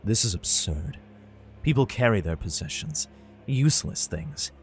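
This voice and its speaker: Low-voiced, grave father in a sci-fi drama acted by a man. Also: very animated, unhurried. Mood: weary, tense. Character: weary father fleeing with his son through a besieged hive city. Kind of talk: first-person audio drama narration with acted dialogue. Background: music.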